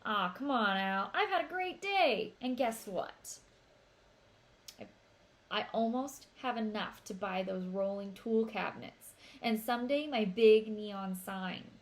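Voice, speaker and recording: A woman's voice: medium pitch, expressive, slow. A woman voicing an upbeat, boastful teenage big brother in a storybook read-aloud, with playful, emphatic delivery. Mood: excited.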